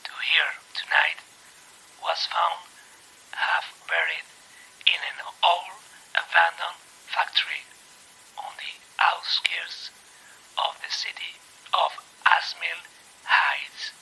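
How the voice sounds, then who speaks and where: A man hosts a horror series in a slow, heavily gravelled half-whisper. The delivery is ominous and dramatic, with a sharp, very husky edge. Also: a little high, big pitch swings, poor audio. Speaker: host of a horror tape series. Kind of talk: slow horror story introduction.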